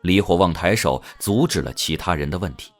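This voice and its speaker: A man's voice: deep voice, expressive, fairly quick. A man narrating an audio drama in a dramatic, scripted storytelling style.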